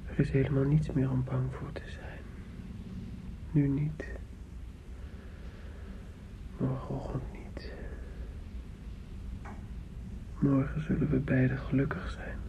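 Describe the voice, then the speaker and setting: An actor playing a tender, grieving young son in a radio drama, speaking in a hushed, dreamy, repetitive way. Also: male voice, mid-range voice, vocal fry, lo-fi sound. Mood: hushed soothing. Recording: very echoey hall-like reverb, music behind. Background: music.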